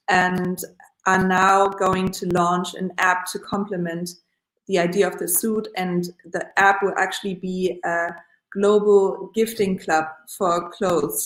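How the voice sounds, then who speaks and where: A woman founder speaking enthusiastically at a panel, joining remotely from an office. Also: mid-range voice. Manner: enthusiastic, even-toned. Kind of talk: casual panel introduction over a stream. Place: office room. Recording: echoey remote office line.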